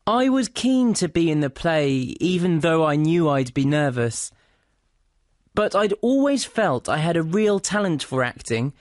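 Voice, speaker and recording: A woman reading a scripted, reflective monologue for a listening exam, in a measured way. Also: on the low side, no room sound, clean studio sound. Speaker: exam recording speaker.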